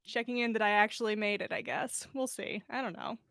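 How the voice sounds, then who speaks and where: A woman's voice: mid-range voice, fairly quick, vocal fry, a bit bright, no echo, studio quality. A woman hosting a livestream, chatting casually and reading fan fiction aloud in a bubbly, self-deprecating way. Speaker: podcast host and story reader.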